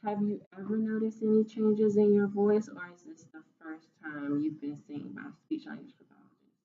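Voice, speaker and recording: A woman playing a clinician in a role-played voice evaluation, asking calm, methodical questions. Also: mid-range voice, normal speed, lo-fi sound, slight background noise. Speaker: student clinician in a mock voice evaluation.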